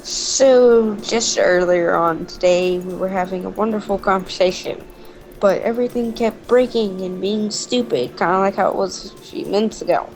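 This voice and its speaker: A woman's voice: medium pitch, normal speed, a little mellow, faint music behind, poor audio. A woman co-hosting a story-time show, telling everyday stories in a casual, chatty style.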